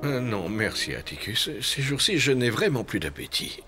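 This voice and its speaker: Wildly swooping, awkward cartoon animal doctor. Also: male voice, mid-range voice, very animated, normal speed, bright, forceful tone, soft background music. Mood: downcast. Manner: hesitant, stammering. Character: gloomy, flustered male animal doctor, gentle and awkward. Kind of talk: children's cartoon dubbing.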